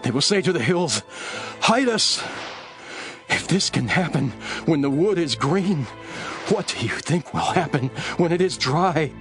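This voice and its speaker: An actor playing a calm, sorrowful condemned teacher who speaks in a grave, measured way in a radio drama. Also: male voice, a little high, over music. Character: calm, sorrowful male teacher facing death.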